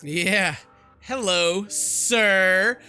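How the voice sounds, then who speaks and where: A man's voice: high-pitched, drawn-out words, sharp tone, faint music behind. A man hosting a horror game playthrough, reading text aloud and reacting with theatrical, sarcastic, exclamatory commentary. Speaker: gaming video host.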